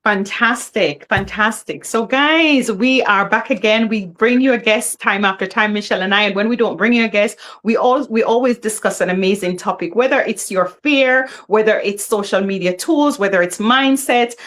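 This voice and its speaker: A woman's voice: medium pitch, normal speed, hardly any pauses, fairly bright tone. A middle-aged woman, hosting a live online business talk in an upbeat, welcoming way. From Jamaica. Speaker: host.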